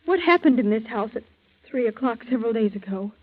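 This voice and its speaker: An actress playing a frightened but determined teenage daughter in an urgent, dramatic 1940s radio style. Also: female voice, on the high side, animated, brisk. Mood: fearful, demanding. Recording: tinny narrow-band old radio recording.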